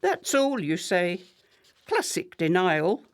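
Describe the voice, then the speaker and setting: An acid-tongued, slow-spoken wife. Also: female voice, fairly low pitch, very animated. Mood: acidic mockery. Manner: sarcastic, cutting, theatrically clipped delivery. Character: acidic, contemptuous, long-married middle-class wife. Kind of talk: radio play acting.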